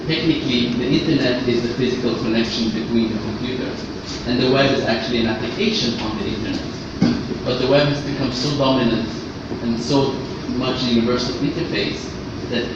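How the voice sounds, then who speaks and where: A man raised in Austria giving a workshop presentation in a halting way, often repeating words as he goes. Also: mid-range voice. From Austria, born in Egypt. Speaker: conference speaker, web standards specialist. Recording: echoey, noisy room, distant muffled microphone.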